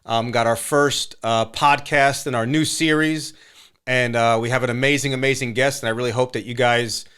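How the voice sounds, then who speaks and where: A man hosting a podcast, upbeat and relaxed, with many fillers and repeated words. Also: mid-range voice, moderate pace, smooth. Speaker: podcast host. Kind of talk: casual interview chat.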